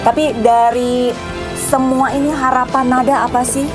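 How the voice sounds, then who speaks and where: High-voiced woman reporter over music. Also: little pitch movement, moderate pace. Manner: encouraging, prompting with questions and echoing answers. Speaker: field reporter interviewing guests. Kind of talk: live broadcast interview, chatty. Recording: music playing behind the voice.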